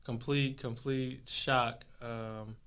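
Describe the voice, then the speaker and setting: A man's voice: medium pitch, flat delivery, very slow, fairly bright tone, clean tone. A man in his twenties from Texas, a basketball player and podcast guest, telling stories in a laid-back way with lots of fillers. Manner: laid-back storytelling, many fillers and restarts. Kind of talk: casual podcast interview.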